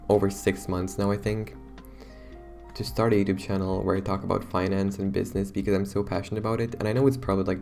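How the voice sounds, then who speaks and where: A young man, hoarse from losing his voice, chatting casually and rambling to his viewers as a YouTuber. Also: deep voice, a little mellow. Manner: chatty, rambling, self-conscious. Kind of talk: casual talk to camera.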